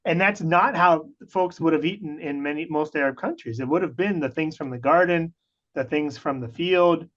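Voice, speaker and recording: A man's voice: a little high, normal speed, a bit bright, lo-fi sound. A man in his forties from Pennsylvania, a guest on a radio show, explaining his ideas thoughtfully with hesitant restarts. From United States (Pennsylvania). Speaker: guest, researcher. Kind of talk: casual radio interview.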